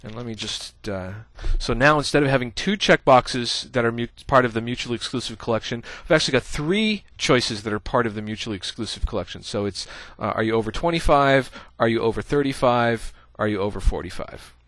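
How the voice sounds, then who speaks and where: Halting man talking through code. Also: mid-range voice, a bit bright, no echo. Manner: casual, halting, many "uh" fillers, thinks aloud while typing. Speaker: programming instructor. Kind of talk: screencast coding tutorial.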